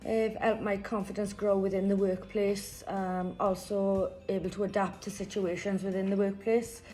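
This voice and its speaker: A woman and parent giving a plain, even prepared testimonial about her training. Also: medium pitch, moderate pace, faint music behind, poor audio. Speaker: apprentice and parent.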